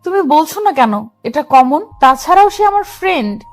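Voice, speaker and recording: Casting: female actor as possessive, scolding, loving young wife for romance audio drama, very high pitch, music underneath. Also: big pitch swings, normal speed. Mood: defensive. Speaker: female lead of an audio love story.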